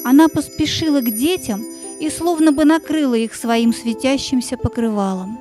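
A woman narrating a children's story in an expressive, suspenseful, dramatic scripted style. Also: sits high, normal speed, soft background music.

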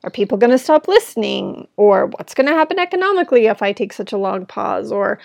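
A woman hosting her own podcast, talking in an earnest, rambling way with a gravelly, smoke-irritated throat. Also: mid-range voice, sing-song, brisk, no echo. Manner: earnest, rambling, with frequent "right?". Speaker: podcast host. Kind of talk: reflective solo podcast talk. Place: at home indoors.

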